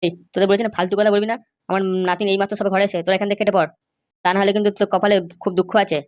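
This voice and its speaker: Woman voicing a fast-talking angry old grandfather. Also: mid-range voice, flat delivery, close to an everyday voice. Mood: indignant. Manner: scolding, threatening. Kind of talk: amateur comedy cartoon dub.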